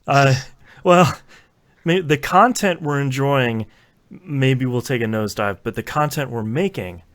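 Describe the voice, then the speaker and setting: A man's voice: mid-range voice, measured pace, a bit bright. A man hosting a casual gaming podcast, bantering in a jokey way and often laughing as he talks. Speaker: podcast host.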